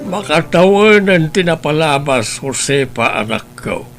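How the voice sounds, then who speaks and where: Man acting a measured, gravelly old grandfather. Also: a little high, animated, soft background music. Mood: gently reproachful. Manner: measured, admonishing. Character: disappointed, gently reproachful elderly grandfather. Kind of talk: Ilocano audio drama acting.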